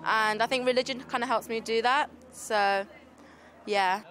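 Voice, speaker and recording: A woman's voice: a little high, steady tone, a little slow, a crowd in the background. A young woman talking casually and personally in an interview. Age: young adult.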